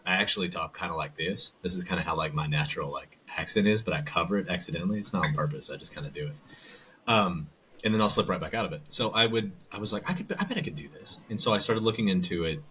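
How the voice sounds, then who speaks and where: Deep-voiced man, rambling and bright. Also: fast talker, slight background noise. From American South. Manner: rambling, loose storytelling with many restarts. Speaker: voice actor and audiobook narrator, guest. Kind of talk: casual interview chat.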